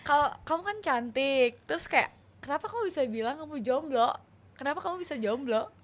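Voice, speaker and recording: A woman, hosting a casual podcast chat with a guest, curious and quick to laugh. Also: high voice, animated, slight background noise. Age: 20s. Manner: chatty, curious, laughs often. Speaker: host.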